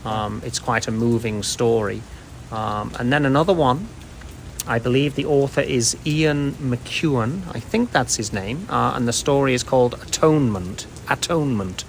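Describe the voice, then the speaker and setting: A man's voice: mid-range voice, normal speed, vocal fry, a little hiss or hum. A middle-aged British man giving thoughtful, explanatory answers in a conversational interview. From United Kingdom.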